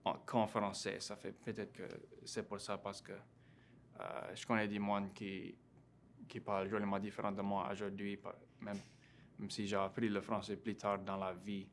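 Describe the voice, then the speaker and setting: A young man in his twenties speaks with a Cajun French accent in a casual interview. His voice sits a little low and stays flat and even. He talks haltingly, with many fillers and restarts. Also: slight background noise. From Louisiana. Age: 20s. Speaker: interview guest, graduate student.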